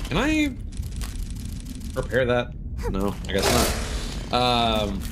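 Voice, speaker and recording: Slow-paced man streaming a game over noise. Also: mid-range voice, wildly swooping pitch. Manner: halting, thinking aloud while playing. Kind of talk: casual live gameplay commentary.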